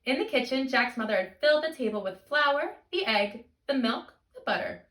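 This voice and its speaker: A woman hosting storytime like a teacher, reading a picture book to young children in an animated, expressive way. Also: sits high, moderate pace, roomy sound, far from the mic. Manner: animated, expressive teacher talk with simple questions and explanations.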